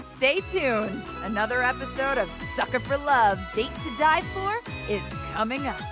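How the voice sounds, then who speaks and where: A woman's voice: sits high, lively intonation, normal speed. A woman playing a playful, knowing episode announcer in a visual novel game. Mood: playful teasing. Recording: game audio with music.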